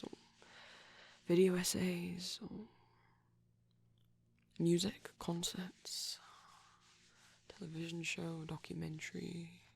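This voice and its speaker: A man playing a shy, gentle vampire boyfriend in intimate ASMR roleplay, speaking softly and hesitantly, often close to a whisper. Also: high voice, full character voice. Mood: shy, tender. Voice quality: soft, breathy, husky, often near a whisper. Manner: hesitant, bashful, rambling tenderly.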